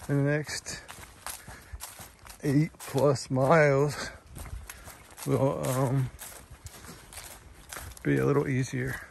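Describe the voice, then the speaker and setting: A man's voice: medium pitch, creaky, a little hiss or hum. A man in his fifties chatting casually while hiking a forest trail, folksy and easygoing, filming on a handheld phone. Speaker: thru-hiker vlogger.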